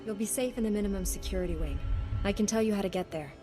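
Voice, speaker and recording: Woman acting a quick, composed heroine. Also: medium pitch, close to an everyday voice. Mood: firm. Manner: direct, self-assured. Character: composed, principled young female Jedi warrior. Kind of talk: video game cutscene acting. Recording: long hall reverb, music behind.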